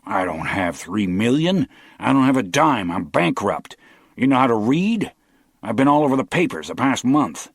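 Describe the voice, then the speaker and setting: A man voicing a big, heavy-set kidnapped man who blusters and talks back, with a deep, loud voice. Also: moderate pace, hardly any pauses, no echo, clean studio sound, close to an everyday voice. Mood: defiant. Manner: indignant, sarcastic.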